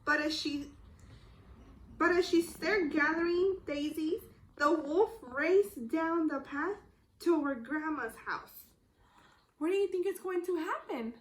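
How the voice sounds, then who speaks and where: A woman student teacher reading a storybook aloud to young children, deliberate and pausing to question her listeners. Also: high voice, poor audio.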